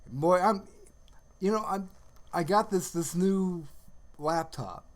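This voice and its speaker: Rambling, higher-voiced man chatting casually. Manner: rambling, halting, trails off mid-sentence. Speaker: livestream host. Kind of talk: casual livestream chat.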